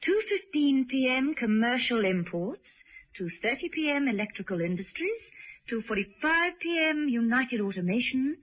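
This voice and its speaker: Self-assured British woman agent, radio drama; animated, husky, brisk wit. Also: high voice. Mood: businesslike. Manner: wry, brisk, playful. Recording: dull lo-fi old radio recording.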